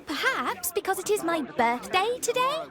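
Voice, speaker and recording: A young girl in a game drama, wounded and resentful toward her guardian. The voice is extremely high and childlike, set against a loud crowd. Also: sing-song, normal speed. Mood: disappointed. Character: hurt, resentful young English girl, detective's ward. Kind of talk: dramatic video game voice acting.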